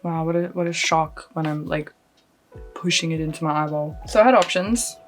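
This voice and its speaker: A woman's voice: low-pitched, moderate pace, soft background music. Chatty woman with a half-Californian, half-Australian accent. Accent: mostly Californian with some Australian coming through. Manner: chatty, rambling and self-deprecating, with frequent laughs. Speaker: beauty vlogger. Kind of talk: casual makeup tutorial chat. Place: at home, lit by a close lamp.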